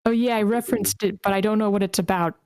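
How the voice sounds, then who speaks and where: A woman's voice: medium pitch, steady tone, fast talker, darkish tone, clean tone, no room sound, studio quality. A woman hosting a group session, talking informally and encouragingly as she organizes who takes which role. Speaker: host of a script table read. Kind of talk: casual organizing talk, casting roll call.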